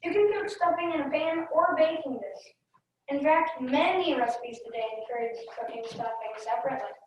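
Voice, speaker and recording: A woman's voice: sits high, brisk, muffled recording. A young girl reading a scripted part in a readers theater play. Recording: echoey room, distant mic, hiss.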